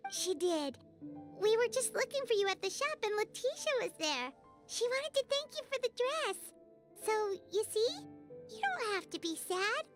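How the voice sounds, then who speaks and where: A tiny chirpy fairy companion squeaks along in a very high, bouncy voice. She chatters eagerly, her pitch leaping all over the place. Game music plays softly beneath her. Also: very animated, moderate pace. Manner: chirpy, eager, talks about herself in the third person. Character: tiny cheerful floating fairy companion, female. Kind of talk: video game voice acting.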